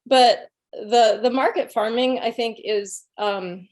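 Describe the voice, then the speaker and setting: A woman's voice: on the high side, slow, darkish tone. A middle-aged woman, a guest speaker telling rambling, anecdotal stories and chuckling between phrases. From Illinois, USA. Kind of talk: conversational storytelling.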